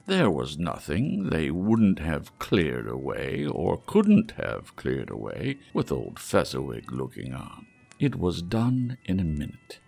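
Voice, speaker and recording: A man narrating a classic storybook in a measured, expressive way. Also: rumbling low, gravelly, husky. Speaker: audiobook narrator. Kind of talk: storybook reading.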